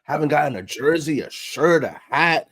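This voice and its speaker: Playful man with lively pitch. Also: moderate pace, crowd noise. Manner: joking, playful. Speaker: baseball podcast co-host. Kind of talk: casual sports chat.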